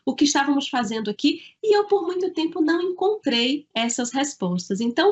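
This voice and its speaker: A woman from Salvador, an author answering interview questions in an earnest, thoughtful way with the odd halting restart. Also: on the high side, muffled recording. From Salvador, Bahia, Brazil. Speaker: author and guest.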